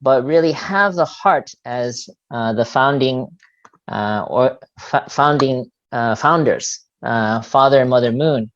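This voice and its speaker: A middle-aged man from New York, born in Japan, giving earnest, halting opening remarks as a religious leader. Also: mid-range voice. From born in Japan, grew up in New York. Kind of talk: earnest informal speech to a congregation. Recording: dull, lo-fi sound.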